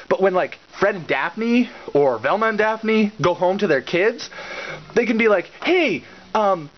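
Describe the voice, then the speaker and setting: A man delivering a satirical recap in a sarcastic, theatrical and halting manner, often repeating words. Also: high voice. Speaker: reviewer and puppet-show host. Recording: slightly dull lo-fi audio.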